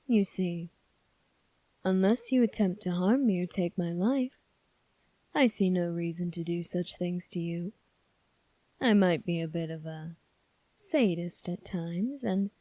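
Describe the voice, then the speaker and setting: A woman voicing a low, mellow, sly young prince. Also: dry recording. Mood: smooth, teasing. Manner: measured, formal, coolly probing. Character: calm, sly, teasing young male prince, mildly sadistic. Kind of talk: ASMR roleplay audio drama.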